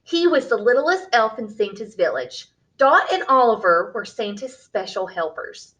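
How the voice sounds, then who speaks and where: A woman reading a picture book aloud for young children in an expressive, deliberate way, as a storyteller. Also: fairly high pitch, highs cut off.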